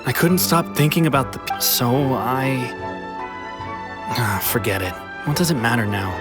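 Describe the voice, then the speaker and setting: Game role for a man: regretful runaway, medium pitch, downcast, halting delivery. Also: lively intonation, close to an everyday voice. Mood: wistful, resigned. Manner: hesitant, downcast. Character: weary, regretful adult male fugitive, subdued. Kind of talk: video game voice acting. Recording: music playing behind the voice.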